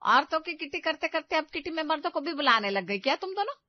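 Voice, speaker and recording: A woman's voice: high voice, very animated, quick pace, dry recording, highs cut off, voice pushed up. A woman voicing a stern, suspicious older mother-in-law who scolds in an indignant, accusing way for an audio story. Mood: outraged.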